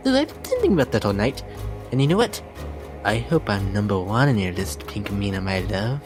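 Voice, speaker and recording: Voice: sits low, sing-song, a little fast. A performer voicing a vain, lovesick, unhinged lady pony in a dramatic reading, with a prim, theatrical, affected delivery. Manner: theatrical, genteel, fussy, affected, swooping. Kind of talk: dramatic reading of a fan-made character blog. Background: dramatic instrumental music.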